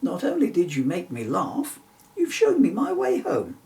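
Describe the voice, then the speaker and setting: A man voicing a gentle, jokey magic unicorn for a children's storybook, in a friendly, playful manner. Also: high-pitched, huge pitch swings, normal speed, creaky, distant mic, pitched above the performer's own voice, exaggerated voice. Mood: cheerful. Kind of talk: children's storybook character reading.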